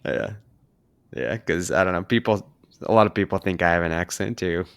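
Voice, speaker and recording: A podcast host, a man, speaks in a fairly low, smooth, clear voice. The mic is close and dry. He rambles through his questions with plenty of fillers. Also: moderate pace, no echo. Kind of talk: casual podcast interview.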